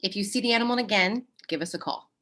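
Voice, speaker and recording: Woman playing a brisk, stern animal control officer. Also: mid-range voice, a bit bright, close to an everyday voice. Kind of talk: screenplay table read.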